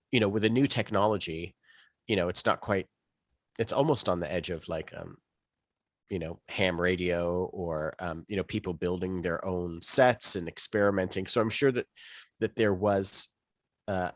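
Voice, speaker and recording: Male podcast co-host, low register, dry close sound, loose rambling delivery with trailing asides. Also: a bit bright, no room sound. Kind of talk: casual podcast discussion.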